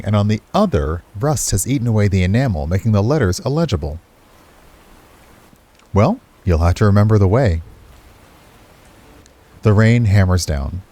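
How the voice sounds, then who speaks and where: A man's voice: on the low side, dark tone. A man narrating a visual novel expressively, with casual commentary as he streams it. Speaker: game streamer.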